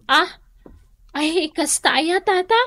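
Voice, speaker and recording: An actress playing a meek, puzzled youngster in an Ilocano comedy radio drama, hesitant and questioning, with an Ilocano accent. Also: female voice, extremely high pitch, slow, a bit bright, no echo, studio quality, heavily acted.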